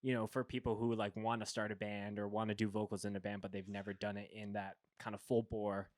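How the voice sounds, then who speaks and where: A man's voice: on the low side, little pitch movement, brisk, darkish tone. A man hosting a podcast, chatting casually with a guest and often restarting his sentences. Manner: rambling, many restarts and fillers. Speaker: podcast host. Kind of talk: casual chat.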